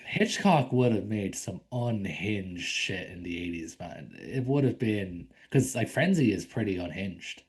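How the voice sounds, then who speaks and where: Rambling, low-voiced man on a podcast. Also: lively intonation, measured pace, few pauses, breathy edge. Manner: rambling, frequent ums and likes, swears casually. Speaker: podcast co-host. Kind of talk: casual film discussion.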